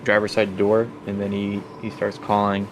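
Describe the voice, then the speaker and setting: A man with a fairly low voice narrates a tabletop game as game master. He improvises scenes conversationally, with faint noise in the background. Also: monotone, moderate pace.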